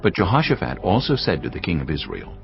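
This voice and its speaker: A man giving scripted audio Bible narration in a measured, formal manner. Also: low voice, big pitch swings, creaky. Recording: dull lo-fi audio over music.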